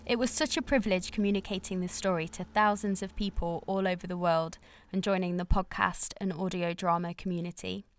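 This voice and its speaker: A woman's voice: fairly low pitch, fairly even intonation, a little fast. A woman reading a scripted promotional outro as host for a theatre company.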